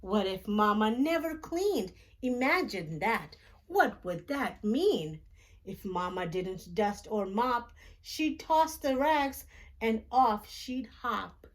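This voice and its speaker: A woman with a fairly high voice reads aloud for young children. She takes her time, phrasing each part deliberately and expressively, as a storytime host does. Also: animated. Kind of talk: children's picture book read-aloud.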